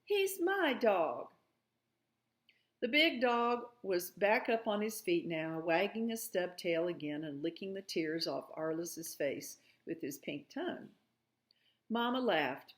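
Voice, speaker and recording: A woman narrating a storybook aloud in an expressive way. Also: fairly low pitch, unhurried.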